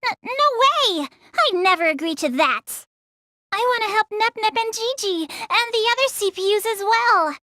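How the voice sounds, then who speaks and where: A woman's voice: extremely high pitch, animated, moderate pace, pushed and bright, no echo, studio quality, full character voice. A woman voicing a sweet, timid young nurse girl in an anime game, childlike and cutesy. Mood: earnest. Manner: childlike, cutesy, stammering when flustered.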